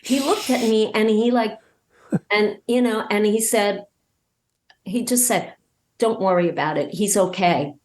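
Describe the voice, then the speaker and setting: A woman talking casually as an interview guest and telling anecdotes, emphatic and halting, often repeating words as she goes. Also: mid-range voice, darkish tone. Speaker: podcast guest, audio drama creator and voice actor.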